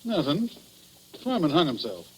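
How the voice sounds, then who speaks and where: A man's voice: a little high, huge pitch swings, normal speed, husky, full character voice. An actor playing a folksy, defensive small-town jail policeman with slangy, ungrammatical talk, in 1940s radio style. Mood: sheepish, defensive. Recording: noisy old radio drama recording.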